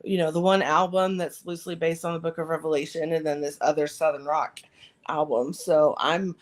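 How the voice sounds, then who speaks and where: A woman co-hosting a podcast in a casual chat, her voice slightly raspy after recent surgery. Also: low-pitched, steady tone, fairly quick. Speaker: podcast co-host.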